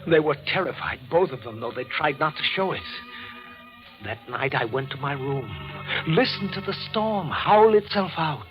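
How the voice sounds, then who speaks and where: Morbid, fervent young heir for 1940s radio thriller, male actor: high, very changeable pitch, theatrical, dated muffled sound over music. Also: expressive, normal speed, natural voice. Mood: haunted, hushed. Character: intense, morbid, obsessed grieving young son.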